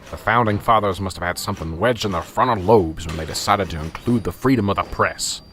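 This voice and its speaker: A man's voice: low-pitched, brisk, a bit bright, a little hiss or hum. An actor playing an exasperated male police sergeant in an amateur radio drama. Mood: weary. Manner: curt, exasperated. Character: gruff weary male small-town police sergeant.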